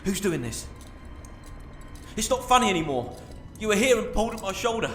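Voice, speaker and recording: A man playing a frightened, excitable paranormal investigator presenting live TV in an audio drama. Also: sits high, fairly quick, roomy sound, a little hiss or hum. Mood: terrified pleading. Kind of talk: full-cast audio drama acting.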